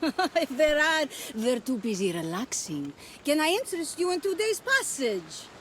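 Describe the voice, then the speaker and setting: A woman's voice: high-pitched, big pitch swings, moderate pace, a bit bright. An actress playing a cheery ancient boat woman selling passage in a video game. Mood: enticing. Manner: salesy, lilting, enticing. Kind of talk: video game cutscene acting. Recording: background ambience noise.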